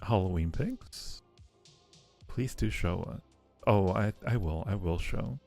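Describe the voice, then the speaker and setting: A man's voice: lowish voice, slow, gravelly, a little mellow, soft background music. A man hosting a casual livestream, chatting playfully and affectionately with viewers in a rambling way, often repeating phrases. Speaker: livestream host.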